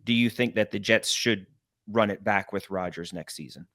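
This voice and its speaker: Lower-voiced male podcast interviewer. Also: normal speed, fairly bright tone, no room sound, clean studio sound. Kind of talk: casual interview.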